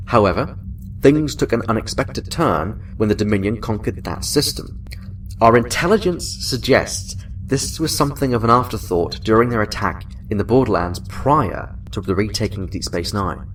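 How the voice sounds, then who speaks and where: An actor playing a genial senior admiral in a science fiction audio drama, measured and formal, sounding a little away from the mic. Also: male voice, fairly low pitch, far from the mic, a little hiss or hum, lightly acted, poor audio. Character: genial, senior male admiral, chatty then businesslike.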